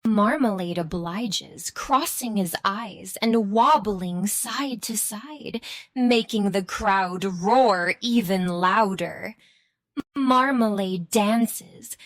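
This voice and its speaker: A woman's voice: a little high, no room sound. A woman reading scripted narration for a children's bedtime story.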